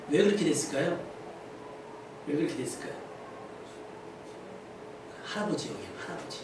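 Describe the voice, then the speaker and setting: A high male voice rings through a heavily echoing church, caught at a distance from the mic. He is a pastor delivering a sermon, asking the congregation questions and repeating key phrases to drive them home. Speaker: pastor preaching. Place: indoor church service. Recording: very echoey church hall, distant mic, faint noise.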